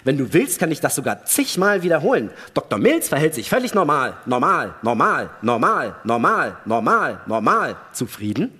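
A man acting a wary, sarcastic astronaut in a dramatic radio play, argumentative and pointed, with a husky voice. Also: mid-range voice, big pitch swings, normal speed, hardly any pauses, pushed and bright, exaggerated voice. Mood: exasperated. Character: wary, sarcastic male astronaut arguing with his crewmate. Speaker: actor in a radio play reading. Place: large hall, live staged reading. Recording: reverberant stage hall sound.

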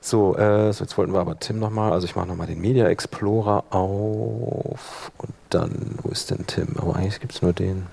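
A man giving an informal live software tutorial as workshop instructor, thinking aloud while he demonstrates. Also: low-pitched, steady tone, normal speed, vocal fry, slight background noise.

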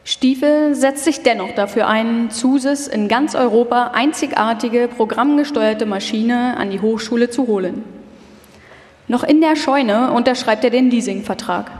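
A woman with a fairly high voice narrates a scripted documentary, performing live. Her words carry the long reverb of a large hall. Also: darkish tone.

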